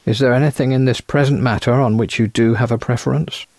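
A man voicing a genial gentleman investigator in an audiobook, speaking in a courteous, formal manner. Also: medium pitch, fairly even intonation, fast talker, no room sound, lightly acted. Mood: genial, teasing.

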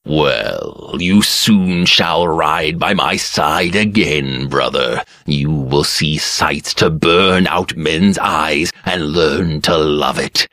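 A cruel nobleman who gloats and taunts in a deep, unhurried voice, as a male narrator puts him on. His menace comes out cheerful and drawn-out, each threat savored slowly. It is heard close and dry, in a storybook reading. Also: bright, forceful tone, no echo, heavily acted. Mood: gloating.

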